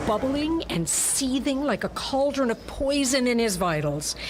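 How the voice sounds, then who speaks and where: A woman's voice: mid-range voice, background noise. A woman delivering dramatic scripted narration for a pulp detective story, as in radio theatre.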